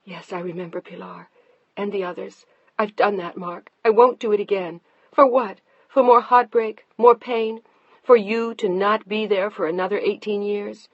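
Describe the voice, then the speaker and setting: A narrator voicing a tearful, defiant middle-aged wife who pleads and shouts, in a slightly muffled dry recording. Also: female voice, little pitch movement, normal speed, vocal fry, breathy edge, dry recording. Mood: distraught defiance. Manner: pleading and shouting, high-strung.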